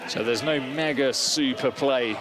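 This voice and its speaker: A man from Spain with an English accent giving measured, analytical play-by-play football commentary. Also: mid-range voice. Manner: measured, analytical calling of the action. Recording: loud crowd and stadium noise.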